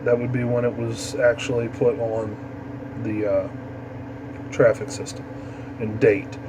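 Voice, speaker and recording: A man with a medium-pitched voice gives an informal tutorial. He explains things step by step in a casual, halting way, as an instructor would. A clearly audible steady noise runs behind his voice. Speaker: amateur radio instructor. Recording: noisy, steady background hum.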